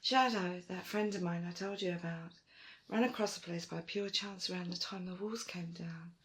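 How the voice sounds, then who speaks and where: A woman playing a proud, stubborn archaeologist in an audio roleplay, with emphatic, expressive delivery. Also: moderate pace, natural voice. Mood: confiding. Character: Dune, proud, determined female archaeologist, stubborn and warm to friends. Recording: roomy, faint rumbling noise.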